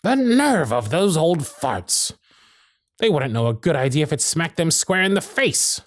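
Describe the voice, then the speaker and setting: A man's voice: a little high, very animated, a little slow, dry recording, clean studio sound. A man playing a proud, sardonic but protective supervisor in a scripted ASMR roleplay, with theatrical, exasperated phrasing. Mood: grumbling, defiant. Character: proud, sardonic male workshop supervisor, protective of his intern. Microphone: close studio mic, dry.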